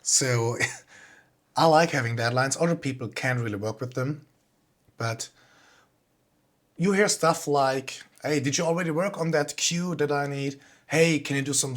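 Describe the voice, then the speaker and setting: A man's voice: mid-range voice, a little slow. A man with a Swiss accent giving an informal online talk as a composer, explaining things in a plain-spoken way. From Switzerland.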